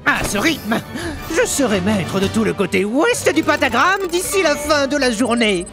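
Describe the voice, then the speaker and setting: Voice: medium pitch, big pitch swings, normal speed, music in the background. A performer voicing a pompous, grandiose snake demon inventor in a theatrical cartoon villain manner. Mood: boastful. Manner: pompous, declamatory. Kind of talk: cartoon villain fan dub.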